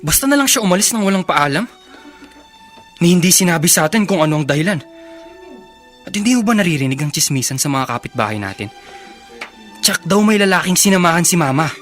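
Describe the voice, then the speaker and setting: A man's voice: a little high, animated, fairly quick, long pauses, fairly bright tone, faint music behind. A man playing a resentful, plaintive young older brother who sides with his father in a radio drama. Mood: hurt, resentful. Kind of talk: Filipino radio drama acting.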